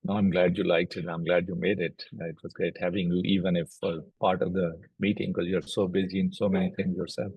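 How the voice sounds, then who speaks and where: A middle-aged man, a native Urdu speaker, speaks in a low, husky, raspy voice. His delivery stays flat and even as he walks through cases one step at a time. The sound is dry and close. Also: no echo, highs cut off. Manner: measured and explanatory, lists cases step by step. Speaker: oncologist and platform co-founder. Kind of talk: recorded video interview.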